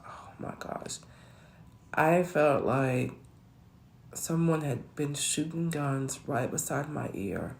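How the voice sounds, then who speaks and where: A woman with a naturally deep voice, hoarse while ill, telling a casual, rambling personal story and correcting herself as she goes. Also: lively intonation, takes it slowly, a little hiss or hum. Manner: rambling, self-correcting, conversational. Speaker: vlogger.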